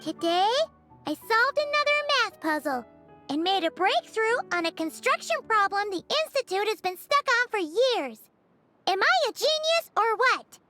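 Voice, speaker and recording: A woman voicing a tiny, chatty, curious fairy-like girl companion in a bubbly, childlike squeak for a video game. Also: big pitch swings, normal speed, bright, forceful tone, faint music behind, full character voice. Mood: reading aloud. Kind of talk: animated video game voice acting.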